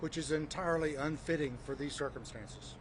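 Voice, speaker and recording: Brief: soft-voiced man, measured and apologetic, slightly raised pitch, street noise. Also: fairly even intonation. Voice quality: soft-spoken. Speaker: uncle of a detainee. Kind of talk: public remarks at a press event.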